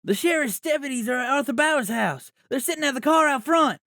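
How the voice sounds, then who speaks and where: A man voicing an excitable teenage boy in an audiobook, urgent and eager as he blurts out news. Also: high-pitched, a little fast, no echo, clean studio sound, voice pushed up, heavily acted. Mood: excited, urgent. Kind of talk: audiobook character voice.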